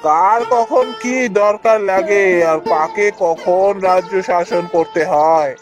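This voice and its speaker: A man's voice: sits high, takes it slowly, music in the background. A man voicing a proud, firm king in a fairytale cartoon, speaking with emphatic authority. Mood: stern, knowing. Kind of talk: Bengali fairytale cartoon voice acting.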